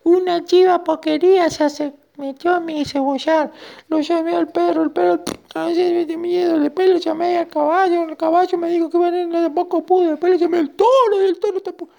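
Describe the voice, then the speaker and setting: A man voicing a tearful, helpless old grandmother with a shrill, plaintive falsetto in a children's story. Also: fairly even intonation, a little fast, gravelly, darkish tone, exaggerated voice. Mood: plaintive complaining. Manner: plaintive, wailing.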